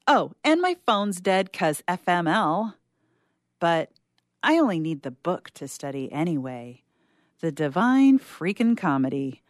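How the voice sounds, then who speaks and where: A woman acts out a stressed young university student in a story monologue. The voice is on the low side and dry, rambling in slang with sardonic, self-mocking humor. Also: big pitch swings, unhurried, no room sound. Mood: frustrated, weary. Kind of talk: dramatic monologue reading.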